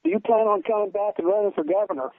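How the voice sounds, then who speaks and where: A man's voice: high voice, steady tone, very fast, noisy background. A man with an American Midwestern accent, a farmer calling in to put questions in a plain-spoken, earnest way over the telephone. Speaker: caller, wheat farmer. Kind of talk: phone-in question. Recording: dull muffled telephone line.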